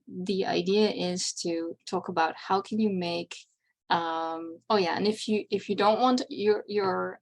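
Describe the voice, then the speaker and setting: A Dutch woman whose first language is Dutch, giving a casual, chatty convention presentation over a video call, with wry asides. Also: mid-range voice. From Netherlands.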